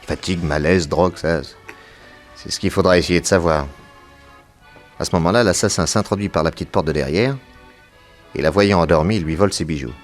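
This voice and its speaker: An actor playing a composed, authoritative detective in a French radio serial, speaking in a brisk, assured way. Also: male voice, low voice, faint music behind, lightly acted. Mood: methodical, deductive. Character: famous detective, male, composed, authoritative, methodical.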